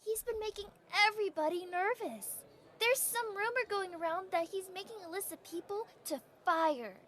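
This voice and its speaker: Audio drama: nosy young castle maid, tiny high-pitched voice, chummy, probing, informal. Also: female voice, normal speed, a bit bright. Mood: curious, probing. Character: nosy, familiar young female castle coworker.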